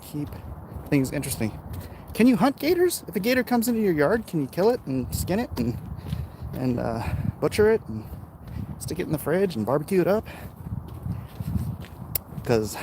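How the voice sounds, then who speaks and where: A man's voice: on the high side, very animated, moderate pace, pauses often. An American man in casual, rambling livestream chat, talking off the cuff and answering comments as he walks. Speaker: livestream host. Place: outdoors, walking along neighborhood streets. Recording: outdoor street noise with passing traffic. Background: urban outdoor ambience, vehicles.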